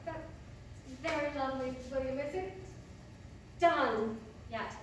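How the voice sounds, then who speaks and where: A skeptical, teasing woman with a high voice and a dry, exasperated manner in theatrical comic dialogue. She is heard at some distance in a very echoey room, with faint noise. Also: slow, a bit bright. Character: skeptical, teasing female friend of a playwright. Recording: roomy echo, distant mic, faint noise.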